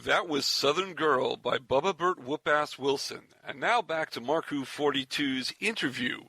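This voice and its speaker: A man's voice: a little high, moderate pace, bright, forceful tone, faint music behind. A man speaking as a podcast host, casually introducing segments.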